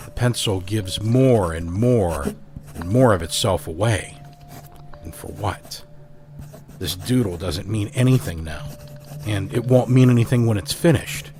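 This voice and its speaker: A man hosting and narrating an audio drama, reading a scripted story in a measured, deliberate manner. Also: fairly low pitch. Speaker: host and narrator.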